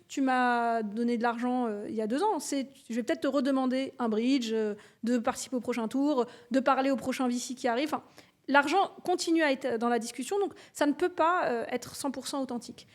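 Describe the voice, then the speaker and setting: Here is a woman with a fairly high voice, hosting a relaxed interview podcast. She talks casually, murmurs along while listening, and works her questions out aloud before asking them. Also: fairly quick. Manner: conversational, frequent listening murmurs, thinks aloud. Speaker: podcast host and executive coach.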